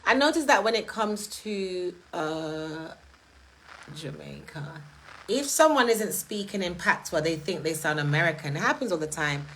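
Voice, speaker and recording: A British-accented woman talks straight to her live-stream audience, her voice sitting a touch low. She is emphatic, leans on rhetorical questions and moves quickly from point to point in relaxed, conversational speech. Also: a little hiss or hum, poor audio. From United Kingdom. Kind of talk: casual live-stream talk, answering chat comments.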